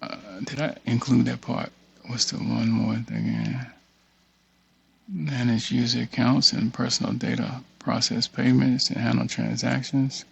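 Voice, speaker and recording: Man, creaky voice, flat pitch, halting casual tutorial presenter, thinking aloud. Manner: halting, muttering, reads screen text aloud. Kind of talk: casual screen-share walkthrough, thinking aloud. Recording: dull, muffled lo-fi audio.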